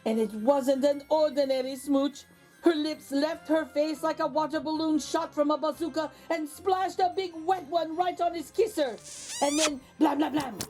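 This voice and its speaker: A woman with a high-pitched voice hosts a children's read-aloud. She speaks slowly and deliberately in an expressive, theatrical storytelling style, with music behind her. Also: poor audio. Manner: theatrical, deliberate, dramatic pauses.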